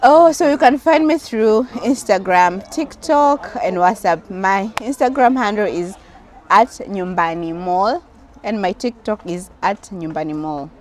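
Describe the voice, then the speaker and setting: A woman's voice: medium pitch, measured pace, darkish tone. A woman with a Tanzanian accent answering questions in a casual interview, with a playful, effusive manner. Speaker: interview guest, businesswoman and engineer.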